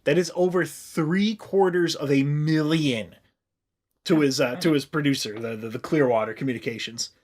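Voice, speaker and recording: A man's voice: on the high side, normal speed, a little mellow. A man co-hosting a comedy podcast with casual, jokey, profane riffing.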